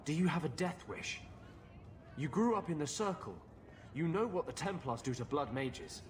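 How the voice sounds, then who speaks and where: An actor playing a blunt, wry mage companion in a video game, matter-of-fact in delivery. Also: male voice, a little high, lively intonation, moderate pace, slight background noise, natural voice. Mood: incredulous.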